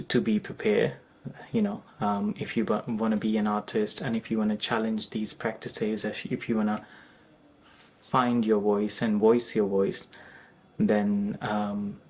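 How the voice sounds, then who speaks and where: A man, an artist, speaking reflectively and hesitantly in a documentary interview, with many pauses and restarts. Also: lowish voice, a little mellow, poor audio.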